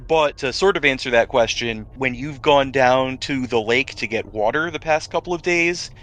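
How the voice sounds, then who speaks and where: A man narrating a tabletop roleplaying game as game master, describing scenes conversationally with dramatic pauses. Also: medium pitch, a bit bright.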